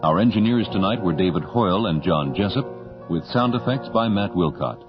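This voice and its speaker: A man's voice: sits low, expressive, moderate pace. A man reading closing credits as a formal, scripted radio broadcast announcement. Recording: dull 1980s radio recording with music. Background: plucked guitar music.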